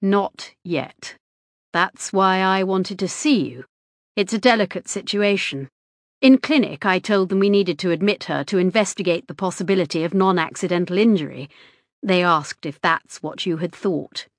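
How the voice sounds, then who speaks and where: A woman's voice: fairly low pitch, normal speed, no room sound, studio quality, close to an everyday voice. A woman reading the lines of a composed, precise middle-aged male hospital consultant in an audiobook, with a clipped, formal manner.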